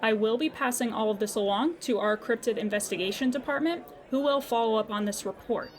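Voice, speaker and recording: Actress as female call agent, slightly high voice, formal, patient, unflappable corporate politeness. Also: brisk, close to an everyday voice. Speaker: customer service agent. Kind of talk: audio drama phone dialogue.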